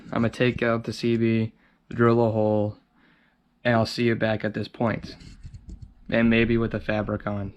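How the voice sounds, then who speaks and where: A man's voice: mid-range voice, a little mellow, dull audio. Man thinking aloud, noisy recording. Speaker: DIY video host. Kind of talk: casual how-to narration while working. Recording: clear background noise with handling clicks.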